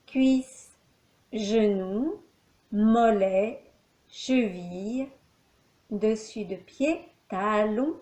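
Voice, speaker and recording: A woman leading a guided yoga nidra relaxation as an instructor, speaking calmly in short, measured phrases with long pauses. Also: on the high side.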